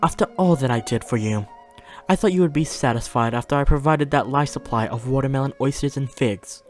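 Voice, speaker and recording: A woman's voice: a bass voice, brisk, a little mellow, faint music behind. A woman playing a haughty demon mistress in an audio drama, teasing with mocking, cruel politeness. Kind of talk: fiction podcast audio drama acting.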